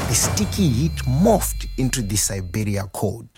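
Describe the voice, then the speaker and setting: Animated restaurant owner. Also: male voice, fairly low pitch, measured pace, breathy edge, soft background music, exaggerated voice. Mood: amused, nostalgic. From Zimbabwe. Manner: animated, chuckling, theatrical storyteller. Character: warm, proud Zimbabwean male restaurant owner reminiscing. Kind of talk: dramatised monologue, storytelling to a customer.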